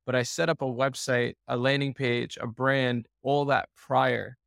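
A man hosts an interview, asking long questions with many restarts and fillers. His voice has a lot of gravelly fry at a middle pitch. The sound is dry and close. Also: level tone, a little slow, a bit bright, dry recording. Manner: hesitant, rambling questions. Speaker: podcast host. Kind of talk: casual interview talk.

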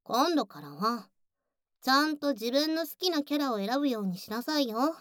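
A woman's voice: sits high, very animated, moderate pace, dry recording, clean studio sound. A woman voicing a bossy, teasing tsundere childhood friend working as a cafe waitress in an intimate ASMR voice drama, often slipping into whispers. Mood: scolding. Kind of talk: Japanese ASMR situation voice drama.

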